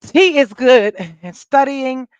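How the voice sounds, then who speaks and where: An American woman with a raspy, scratchy voice from a coming cold, hosting a live stream in casual, rambling, unfiltered chat with her viewers. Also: high-pitched, lively intonation, takes it slowly, no echo, highs cut off. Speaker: livestream host. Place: indoors at home, in an office.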